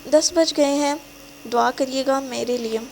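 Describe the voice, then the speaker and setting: Brief: dutiful young daughter, gentle and high, played by a woman narrator. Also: fairly even intonation, gravelly, slight background noise.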